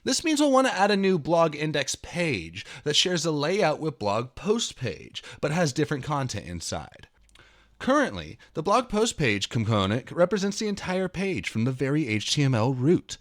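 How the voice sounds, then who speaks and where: Expressive man presenting a programming tutorial. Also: a little high, moderate pace. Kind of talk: dramatic reading of article mixed with casual screencast walkthrough.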